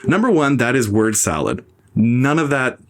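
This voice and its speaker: A young man hosts a casual podcast, sarcastic and opinionated. His voice sits mid-range, and he slips into high, mocking impressions of the people he talks about. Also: big pitch swings, normal speed. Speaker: podcast host. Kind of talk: casual opinionated film talk.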